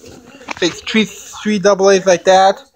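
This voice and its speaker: A young man giving a casual unboxing demonstration, explaining things in a halting, repetitive way into a handheld camera. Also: high voice, level tone, vocal fry, slight background noise, rough recording. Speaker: hobbyist video maker. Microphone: handheld camera, no tripod.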